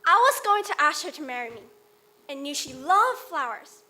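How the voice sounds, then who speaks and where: A woman's voice: high-pitched, sing-song, normal speed, bright, forceful tone. A young-sounding female performer narrating dramatically in a theatrical readers theater style. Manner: theatrical, exclamatory.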